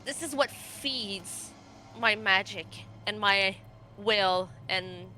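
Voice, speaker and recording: A woman playing an earnest nature-magic guide devoted to her fey homeland, speaking haltingly and heartfelt in a tabletop roleplay. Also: mid-range voice, bright, forceful tone, faint music behind, low-quality recording. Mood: awed, earnest.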